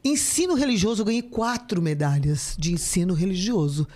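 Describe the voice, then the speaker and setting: A woman in her fifties from São Paulo, Brazil, a guest telling stories with animated, joking energy in a casual podcast interview. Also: medium pitch, brisk. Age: 50s. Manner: chatty, animated storyteller, joking.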